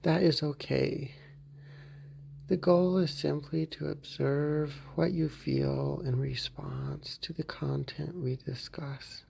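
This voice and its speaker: Calm male meditation guide, husky and mellow, gentle with soft whispered stretches. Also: fairly high pitch, slow. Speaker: therapy group leader.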